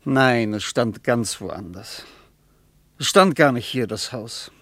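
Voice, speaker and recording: An actor playing a wistful traveler searching through his past in a radio drama, speaking in halting, broken phrases. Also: mid-range voice, lively intonation, fairly bright tone, no echo, exaggerated voice. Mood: bewildered, dejected.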